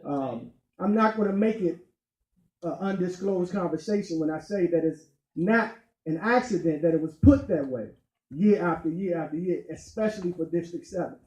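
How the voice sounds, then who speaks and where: Guy running for county council, punchy slow speech, mellow tone, roomy echo. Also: high-pitched. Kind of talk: campaign speech at community meeting. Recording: echoey meeting room.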